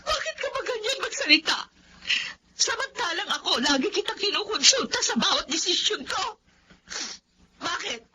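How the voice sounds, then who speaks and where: A woman playing a jealous, aggrieved wife in a Filipino drama, shrill, tearful and accusing. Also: very animated, moderate pace, long pauses, sharp tone, a little hiss or hum, heavily acted. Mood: angry, tearful. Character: jealous, aggrieved wife confronting her husband.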